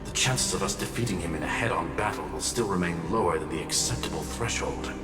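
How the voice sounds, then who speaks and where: A composed game scholar voiced by a man with a very low voice, reasoning in formal, measured phrases. He sounds distant in a long reverb with music behind. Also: lightly acted. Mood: calm, analytical. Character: composed, formal male scholar-strategist, analytical. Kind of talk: video game story acting.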